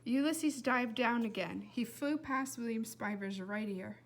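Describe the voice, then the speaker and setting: Higher-voiced woman reading a story aloud. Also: a little slow, a little hiss or hum. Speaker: storybook reader. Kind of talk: expressive read-aloud narration of a children's novel.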